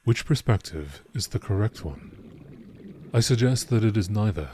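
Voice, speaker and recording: A man reading scripted lore texts in a nature documentary narration style, with measured, deliberate phrasing. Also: fairly low pitch, lively intonation, dark tone, slight background noise.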